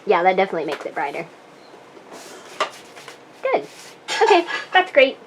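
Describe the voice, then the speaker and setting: A woman's voice: mid-range voice, wildly swooping pitch, moderate pace. A woman helping set up a camera, giving brief, encouraging check-ins. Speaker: helper setting up the camera. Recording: distant mic, clear background noise.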